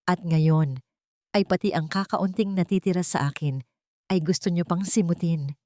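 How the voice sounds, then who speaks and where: A woman's voice: on the low side, normal speed, warm tone, dry recording, studio quality, close to an everyday voice. A woman voicing a wounded young woman, a rejected eldest daughter, in an anguished, pleading audio drama style. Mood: hurt, angry. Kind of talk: Tagalog audio drama acting.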